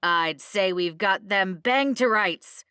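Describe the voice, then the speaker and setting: A woman voicing a cowardly, agitated male rabble-rouser in a storybook, with shrill, theatrical accusations. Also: takes it slowly, pushed and bright, clean tone, no echo, clean studio sound. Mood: accusing.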